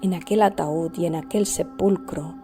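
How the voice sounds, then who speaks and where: Low-voiced woman narrating gothic horror over music. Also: normal speed. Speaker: audiobook narrator.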